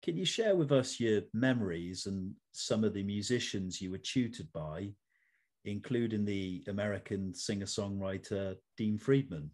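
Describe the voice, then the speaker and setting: A man hosting an interview, putting prepared questions in a formal, measured and wordy way. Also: lowish voice, a little mellow. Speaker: interview host.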